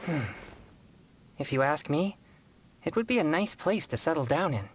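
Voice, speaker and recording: A man's voice: a little high, animated, moderate pace, slight background noise, close to an everyday voice. A man voicing a serious, polite teenage boy exorcist in a video game, speaking in a formal, earnest and courteous manner. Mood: polite, composed. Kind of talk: scripted video game voice acting.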